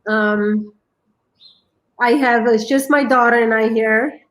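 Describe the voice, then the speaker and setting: A woman in her forties from Romania with a Romanian accent, telling her life story as a guest in an earnest, halting way. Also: a little high, steady tone, reverberant. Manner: earnest, halting, many restarts. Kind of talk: personal storytelling.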